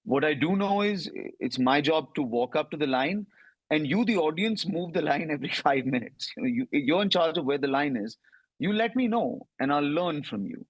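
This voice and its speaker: A man's voice: on the high side, lively intonation, slightly rough, no echo, rough recording. Indian man answering interview questions, dry sound. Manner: reflective, quick asides with "you know". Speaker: interview guest, comedian.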